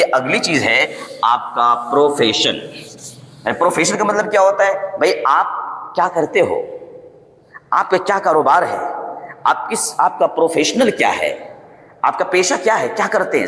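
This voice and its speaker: A man with an Indian accent teaching a spoken English lesson, repeating example phrases slowly and often checking that learners follow. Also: a little high, fairly bright tone. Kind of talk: instructional lesson to camera, switching between explanation and example sentences. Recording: echoey room with long reverb.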